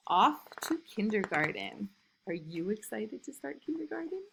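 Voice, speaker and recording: A woman's voice: medium pitch, very animated, normal speed, vocal fry, fairly bright tone, slight background noise, rough recording. A woman kindergarten teacher chatting with young children in simple, encouraging words and asking them questions. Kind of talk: read-aloud and chat addressed to young children. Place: indoors in a classroom.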